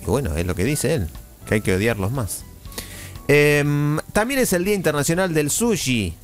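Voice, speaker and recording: Lively, wry Argentine radio host. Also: male voice, a little high, moderate pace, soft background music. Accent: Rioplatense Argentine Spanish. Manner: leads the segment, teasing and wry, reading out the day's observances. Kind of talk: casual radio hosting.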